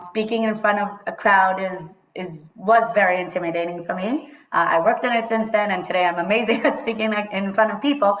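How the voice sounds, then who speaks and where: A woman's voice: medium pitch, fairly even intonation, a little fast, hardly any pauses, a little hiss or hum. Woman, 30s, from Israel; chatty interview guest, echoey room sound. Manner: chatty, mixes in Hebrew words, laughs often. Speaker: guest, HR consultant. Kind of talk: casual interview conversation.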